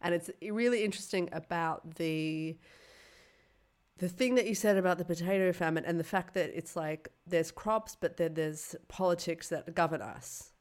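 A woman's voice: on the low side, moderate pace. A woman co-hosting a podcast, thoughtful and questioning in casual chat.

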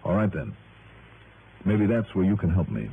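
An actor playing a sharp, wry insurance investigator in a vintage radio detective drama, clipped and businesslike. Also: male voice, deep voice, sing-song, normal speed. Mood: probing, businesslike. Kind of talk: 1950s-60s radio detective drama acting. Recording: noisy, tinny vintage radio recording.

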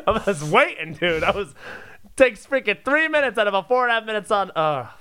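A man co-hosting a comedy podcast with casual banter and an excitable, jokey way of talking. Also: sits high, a little fast, clear, resonant tone, no room sound.

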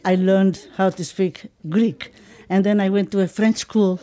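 Woman, 70s, from Turkey, Ladino native, mellow tone, unhurried interviewee. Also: medium pitch, steady tone.

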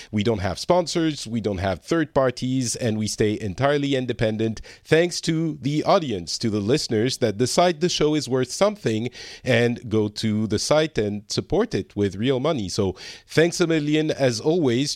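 A man in his thirties hosting a podcast, his mid-pitched voice recorded close and dry with no room sound. He talks casually and haltingly, stopping and restarting often with plenty of fillers. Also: dry recording. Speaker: podcast host. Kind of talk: casual interview chat.

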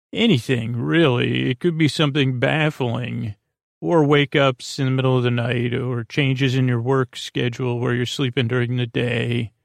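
A man with a creaky voice hosting a sleep podcast, meandering in a soothing, gently whimsical way with stammered false starts. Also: medium pitch, dry recording, studio quality. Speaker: podcast host. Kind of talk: rambling sleep podcast monologue.

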